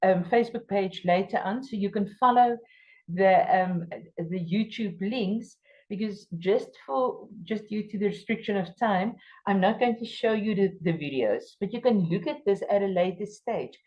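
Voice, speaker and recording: A woman's voice: moderate pace, dark tone, dull audio. Afrikaans-accented woman giving an emphatic lecture. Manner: emphatic, stresses key words with jumps in pitch. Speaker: teacher trainer. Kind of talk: workshop lecture.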